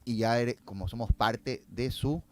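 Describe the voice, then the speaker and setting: A man's voice: medium pitch, a little slow, background noise. A man speaking as a guest filmmaker in casual conversation, explaining and telling stories, often repeating phrases for emphasis.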